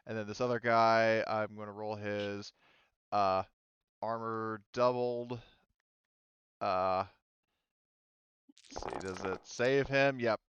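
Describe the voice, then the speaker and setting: A man with a clear voice running a tabletop roleplaying game, talking casually and swearing now and then. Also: fairly low pitch, a bit bright, no room sound, highs cut off. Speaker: game master.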